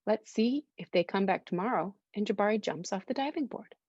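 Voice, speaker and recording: Female children's story reader: expressive, child-directed, medium pitch. Dry, slightly muffled recording. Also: brisk, no room sound. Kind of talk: read-aloud storytelling with questions to children. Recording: dull, lo-fi sound.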